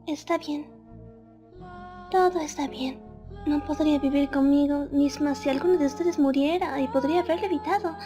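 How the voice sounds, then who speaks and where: A woman voicing a gentle, self-sacrificing female pegasus pony in a soft, reassuring cartoon fandub manner. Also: sits high, fairly even intonation, normal speed, over music, natural voice. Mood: gentle, resigned.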